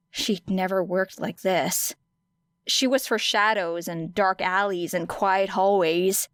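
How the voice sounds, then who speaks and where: Female audiobook narrator: dramatic, expressive, measured pace, medium pitch, dry close sound. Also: clear, resonant tone, no echo. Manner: deliberate, expressive phrasing with weighty pauses. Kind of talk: dramatic scripted fiction narration.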